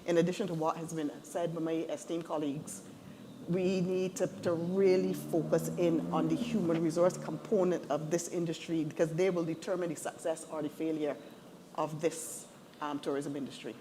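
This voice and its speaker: A woman's voice: sits low, fairly even intonation, normal speed, background noise. A woman speaking earnestly on a webinar panel, building her points in emphatic lists.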